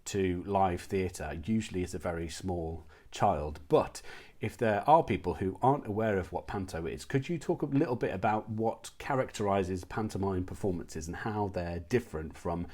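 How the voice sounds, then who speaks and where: A man with a fairly low voice hosts an interview. He speaks casually and with enthusiasm, like someone presenting his own online channel. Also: normal speed, darkish tone.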